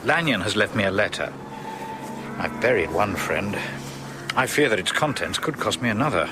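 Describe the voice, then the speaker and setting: An actor playing a loyal, troubled gentleman friend in a radio drama, earnest and formal. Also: on the low side, animated, normal speed, background noise, natural voice.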